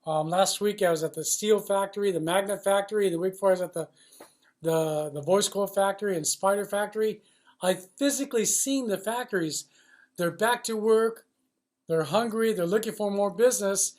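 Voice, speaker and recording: High-pitched, blunt man in an opinionated monologue. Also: moderate pace, darkish tone. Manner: blunt, emphatic, profane, riled up. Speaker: loudspeaker supply industry commentator based in China.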